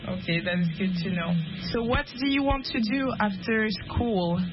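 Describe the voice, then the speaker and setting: A woman's voice: on the high side, expressive, moderate pace, muffled recording, background noise. A woman in her twenties asking friendly, simple questions in a chat. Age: 20s. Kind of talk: casual chat.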